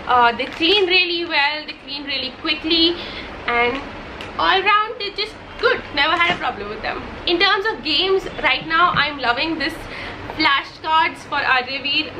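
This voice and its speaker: A woman's voice: high voice, background noise. A young woman whose first language is Hindi, a mother chatting enthusiastically about favourite baby products, with many fillers and restarts. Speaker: vlogger, mother. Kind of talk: casual product favourites vlog.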